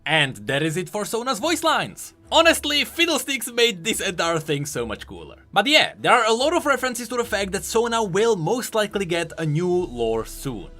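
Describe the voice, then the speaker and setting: A man's voice: sits high, sing-song, normal speed, hardly any pauses, bright, forceful tone. A man hosting a video game lore explainer, narrating from a script with emphatic, dramatic storytelling delivery. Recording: music bed under voice-over. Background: background music.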